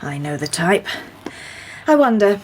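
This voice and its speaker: A woman's voice: mid-range voice, very animated, measured pace, a little hiss or hum. An actress playing a dry-witted lady detective in a radio drama. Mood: wry. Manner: dry, wry.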